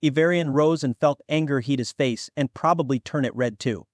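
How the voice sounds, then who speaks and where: A man's voice: mid-range voice, moderate pace, no echo, clean studio sound. A man reading scripted fantasy fiction as an audiobook narrator.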